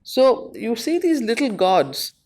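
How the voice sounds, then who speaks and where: A woman with an Indian accent, facilitating a study circle, reading and explaining verse in short, measured phrases. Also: fairly high pitch, sing-song, darkish tone. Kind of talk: reading poetry aloud and explaining it to a study group.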